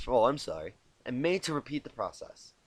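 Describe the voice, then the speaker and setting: A man's voice: medium pitch, very animated, a little fast. A man reading a story aloud informally, self-deprecating, stumbling and correcting himself as he goes. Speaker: amateur fan fiction reader. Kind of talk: informal storybook narration with asides. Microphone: cheap home microphone.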